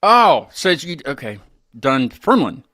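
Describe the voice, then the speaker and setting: A man with an American accent hosts a casual live interview. His pitch moves around in an animated way, and the sound is close and dry. He talks informally and tends to ramble. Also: a little slow, no echo. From West Virginia, United States. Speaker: host of a live music interview show.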